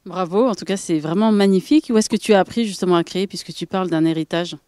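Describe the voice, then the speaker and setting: A woman's voice: lowish voice, fast talker, mellow, no room sound, studio quality. A woman hosting a TV interview, upbeat and full of compliments. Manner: enthusiastic, complimentary. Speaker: TV show host.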